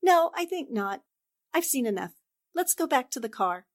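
A woman's voice: sits high, sing-song, normal speed. An actress playing a shrewd, composed newspaperwoman in 1940s radio drama style, probing and decisive. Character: inquisitive, self-assured female newspaper reporter, shrewd and composed.

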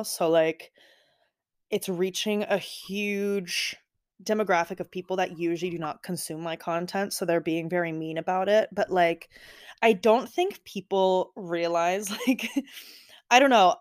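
A young woman hosting a podcast, chatting casually and rambling with lots of "like" fillers. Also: on the low side. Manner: rambling, heavy use of "like". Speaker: podcast host. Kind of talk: casual personal chat.

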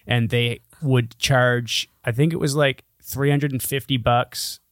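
A man's voice: mid-range voice, fairly even intonation, unhurried, dry recording, clean studio sound. A man co-hosting a podcast in casual, argumentative banter, blunt, skeptical and free with swearing. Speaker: podcast co-host.

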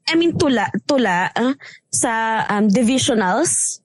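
A Filipino woman with a strong, loud voice talks casually, sliding between Tagalog and English. She leans on filler words as she goes. The sound is close and dry, with no room around it. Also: fairly high pitch, expressive, unhurried, no room sound. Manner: chatty, mixes Tagalog and English with many fillers. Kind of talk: casual chat.

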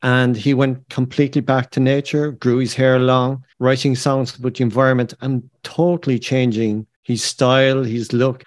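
A man talks as a podcast host in an easy discussion style. His voice sits at a middle pitch, recorded dry with hardly any room around it. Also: level tone, normal speed, few pauses, no room sound. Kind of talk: conversational discussion.

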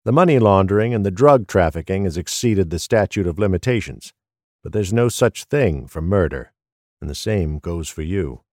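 A man playing a grim, weary older police detective in an audio drama, measured and stern. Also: low-pitched, expressive, a little mellow, no echo, studio quality. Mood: matter-of-fact.

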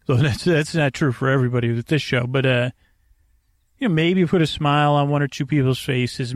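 Male sleep-podcast host: creaky, gravelly voice at middling pitch, halting and meandering, recorded very dry. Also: no room sound. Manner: meandering, halting, often trailing off mid-thought. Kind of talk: rambling bedtime monologue to help listeners sleep.

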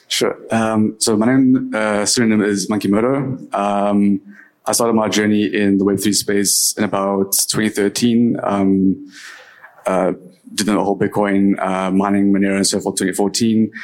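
A man speaks on a stage panel in a hesitant way, filling his talk with ums. His voice is low and flat. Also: moderate pace, rough recording. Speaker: panelist, game studio founder. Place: event stage.

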